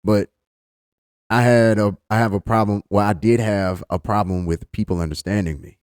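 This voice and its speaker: A man with a thick East Texas accent and a deep voice talking energetically as a podcast guest. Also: moderate pace, smooth, no echo, clean studio sound. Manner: animated, energetic talker. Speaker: guest, amateur comedian. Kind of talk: casual conversation.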